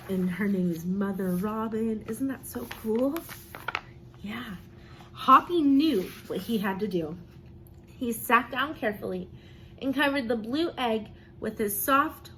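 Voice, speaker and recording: A woman reads a children's picture book aloud in the manner of an encouraging teacher. Her voice sits a little high and she takes her time, speaking expressively to young listeners. There is some noise behind her. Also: rough recording. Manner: encouraging, pausing to ask and praise as a teacher does.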